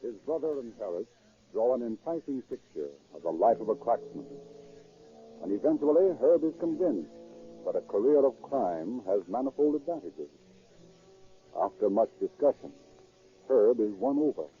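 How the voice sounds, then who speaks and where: A man's voice: mid-range voice, very animated, normal speed, natural voice. A man narrating a scripted 1930s radio crime drama. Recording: tinny, scratchy 1930s radio recording.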